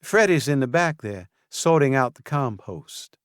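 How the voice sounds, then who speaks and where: A man's voice: medium pitch, expressive, unhurried, clean tone, no echo, studio quality, pitched above the performer's own voice, natural voice. A man voicing a cheerful young woman florist with a Southern US drawl, folksy and chatty. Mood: friendly.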